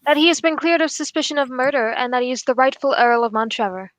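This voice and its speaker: A woman playing a calm, courteous male detective in a formal, measured radio drama style. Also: high voice, dry recording, close to an everyday voice. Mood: composed, courteous. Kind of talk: 1940s radio drama acting.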